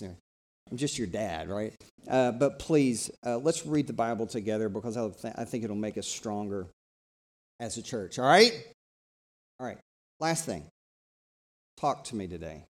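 A middle-aged man from East Tennessee, preaching a live church sermon in a conversational, energetic way that invites the congregation to talk back. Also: medium pitch. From East Tennessee, USA. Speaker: pastor.

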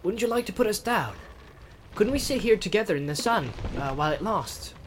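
A man's voice: sits high, animated, brisk, slight background noise. A man doing a polite young hobbit. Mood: earnest. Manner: polite, careful questions. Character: young male hobbit, polite, earnest and quick. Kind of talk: audiobook character reading.

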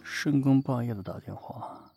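A man's voice: mid-range voice, measured pace, creaky, warm tone, faint music behind. A man in an audio drama playing a possessive, jealous lover who is insistent yet tender, in a probing, intimate manner. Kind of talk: Chinese audio drama acting.

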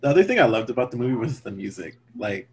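A man's voice: medium pitch, sing-song, fast talker, noisy background. A man co-hosting a podcast in casual chat, sharing fun facts and quizzing the others. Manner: shares trivia, quizzes the others. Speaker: podcast co-host.

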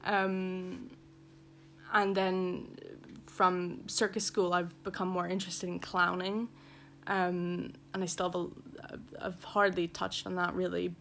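A woman's voice: lowish voice, gravelly, a bit bright, slight background noise. A young woman with a Belfast accent, talking casually as an interview guest, rambling with many fillers. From Northern Ireland.

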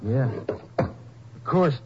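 An actor playing a nervous gun-shop owner in a 1950s radio drama, folksy and overly friendly, stammering under questioning. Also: male voice, very slow. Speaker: suspect. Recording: muffled old radio broadcast with hiss.

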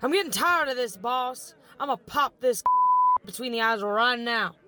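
A man voicing a twitchy henchman in a squeaky, threatening voice. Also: animated, normal speed, bright, forceful tone, full character voice. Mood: impatient.

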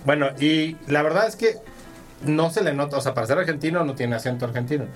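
Male co-host, Mexican, voice a bit high, self-deprecating and crude-joking chat over a music bed. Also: quick pace. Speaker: podcast co-host. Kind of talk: casual chat about video games.

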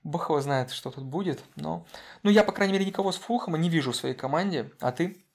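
A man's voice: a little high, quick pace, vocal fry, a little mellow. A man co-hosting a casual football podcast, talking with many fillers and restarts. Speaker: podcast co-host.

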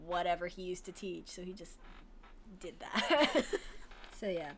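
A young woman co-hosting a casual podcast chat, excitable and laughing often. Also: fairly low pitch, measured pace, slight background noise. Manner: giggly, excitable, lots of laughter. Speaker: podcast co-host.

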